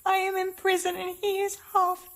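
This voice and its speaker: An actress in a radio drama playing an anguished, frail sixteen-year-old novice with a plaintive, fragile delivery. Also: female voice, extremely high pitch, monotone, moderate pace. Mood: despairing, frightened.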